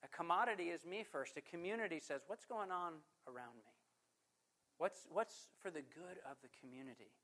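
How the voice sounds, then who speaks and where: A man's voice: a little high, animated, normal speed. Emphatic preaching man. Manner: emphatic preaching with rhetorical questions; loudness swings from forceful to hushed. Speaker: pastor. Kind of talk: sermon.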